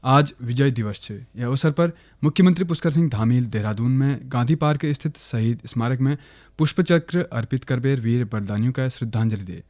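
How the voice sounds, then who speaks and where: A man with a Kumaoni accent reading a regional radio news bulletin in a steady, formal way. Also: medium pitch, no room sound, studio quality. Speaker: newsreader. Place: radio studio.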